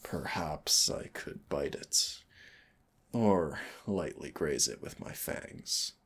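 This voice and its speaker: A man playing a teasing, lovestruck winged fire demon in an intimate audio roleplay, with a deep, husky, gravelly voice. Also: expressive, takes it slowly, a bit bright, full character voice. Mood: adoring, seductive. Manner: intimate, teasing, addresses listener as darling.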